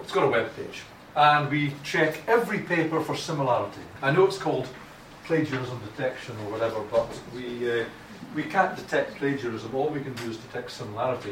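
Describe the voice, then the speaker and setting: A man's voice: mid-range voice, fairly bright tone. Blunt Scottish man lecturing in echoey room. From Scotland. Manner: blunt, informal, frequent restarts. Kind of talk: academic writing lecture. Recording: echoey room from far off, noisy.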